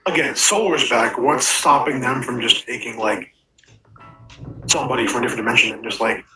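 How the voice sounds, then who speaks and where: A man voicing a resentful, sardonic animatronic in an animated web show, talking in a halting, conversational way. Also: medium pitch, background noise, lightly acted. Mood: probing.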